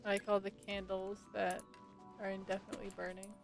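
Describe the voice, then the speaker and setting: A woman's voice: medium pitch, completely flat delivery, fairly quick, vocal fry. A woman live-streaming a video game with casual commentary and sarcastic asides. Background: game music and sound effects.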